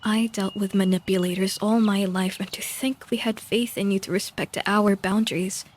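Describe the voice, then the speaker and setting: A woman's voice: medium pitch, a little mellow, close to an everyday voice. A woman playing a loyal, distrustful fox guard in an audio roleplay, speaking in a curt, indignant manner. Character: stern, suspicious, loyal fox guard to royalty.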